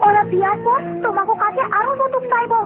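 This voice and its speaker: A woman's voice: very high-pitched, fast. A woman voicing an earnest, spirited young village girl in a cartoon, speaking emphatically with a Bangladeshi accent. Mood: alarmed, urgent. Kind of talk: animated cartoon dialogue. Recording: muffled lo-fi cartoon audio, music behind.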